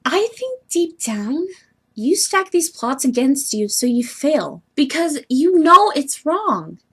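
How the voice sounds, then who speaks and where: A woman voicing an earnest, bubbly young female troll heiress from the sea, with expressive scolding, in an amateur audition style. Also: high voice, slow pace, full character voice. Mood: earnest, reproachful. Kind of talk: amateur animated-webcomic voice audition acting.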